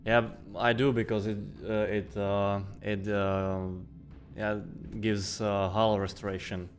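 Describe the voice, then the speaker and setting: A young man with a Czech accent, chatting casually while streaming a game, halting and relaxed. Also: fairly low pitch, faint music behind. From Czech Republic. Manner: relaxed, halting, many fillers. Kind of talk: casual gameplay chat.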